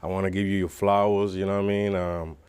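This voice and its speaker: A man's voice: low-pitched, level tone, fast talker, a little mellow, clear, resonant tone. A man from Puerto Rico talking casually as a podcast guest, using street slang and frequent conversational tags. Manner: street slang, frequent "you know what I mean". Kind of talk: casual personal storytelling.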